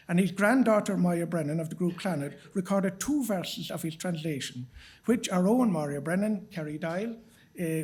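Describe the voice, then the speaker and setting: Male MC, Irish accent, notably high voice, formal measured delivery of prepared event introductions. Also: darkish tone. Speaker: master of ceremonies introducing performers.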